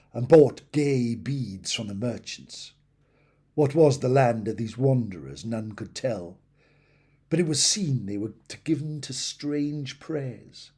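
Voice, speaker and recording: Slow, husky male storyteller. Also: medium pitch, a little mellow. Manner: slow, dramatic storytelling with falling phrase endings. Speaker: narrator. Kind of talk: scripted narration of a classic tale.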